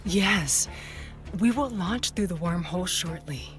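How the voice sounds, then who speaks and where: Alien warlord, menacing, theatrical, slow deliberate delivery, music behind, fiction podcast. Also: female voice, on the low side, animated. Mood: sinister calm. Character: menacing, commanding alien warlord rallying an invasion. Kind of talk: audio drama acting.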